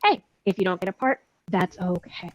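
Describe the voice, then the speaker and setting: A woman's voice: lowish voice, expressive, normal speed, no echo. A woman chatting casually as a panelist among voice actors. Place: indoors on a video call.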